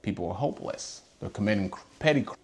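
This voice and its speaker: A man's voice: fairly low pitch, lively intonation, fast, a little mellow, slight background noise. A man from Haiti hosting his own channel, talking earnestly and passionately to camera and asking pointed rhetorical questions. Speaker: host. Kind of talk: earnest personal monologue to camera.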